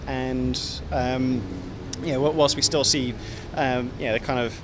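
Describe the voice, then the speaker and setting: A man stopped on a city street, answering haltingly with many fillers and restarts. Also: mid-range voice, steady tone. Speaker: passer-by. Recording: loud street traffic.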